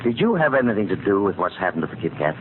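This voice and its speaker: Deep-voiced police inspector. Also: male voice, very animated, very fast. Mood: baffled. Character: courteous, puzzled male police inspector. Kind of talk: 1940s radio acting. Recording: tinny, noisy old radio recording.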